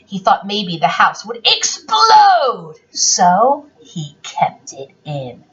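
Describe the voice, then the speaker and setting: Animated woman reading aloud, roomy distant sound. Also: mid-range voice, takes it slowly. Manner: theatrical, expressive, playful. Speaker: read-aloud storyteller. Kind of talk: animated children's picture book read-aloud. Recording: roomy, dull lo-fi, distant mic.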